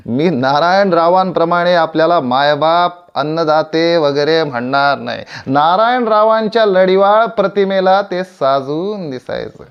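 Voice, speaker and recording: A man acting a proud, aging veteran stage actor in a theatrical monologue, grandiloquent and deliberate, with dramatic pauses and repeated phrases. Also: medium pitch, steady tone, vocal fry, dull audio. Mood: declamatory. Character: proud, aging veteran Marathi stage tragedian addressing his audience.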